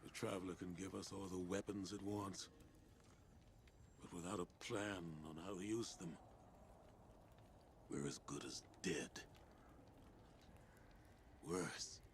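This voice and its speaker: A man's voice: sits low, takes it slowly, long pauses, slight background noise. A man playing a grieving, stubborn military commander in a video game, grave and blunt in delivery. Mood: grim. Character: grim, grieving older military commander, male, bitter and stubborn. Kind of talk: dramatic video game dialogue.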